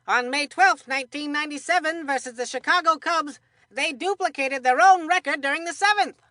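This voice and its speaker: A high-pitched, folksy old-ballgame commentator for a cartoon video game. The delivery is bright and forceful, colloquial and exclamatory, captured in a dry, close studio sound. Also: female voice, brisk, no echo. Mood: chatty, informative. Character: excitable, folksy retired baseball commentator, cartoon sidekick. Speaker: sports commentator in a children's baseball video game. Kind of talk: cartoon baseball color commentary.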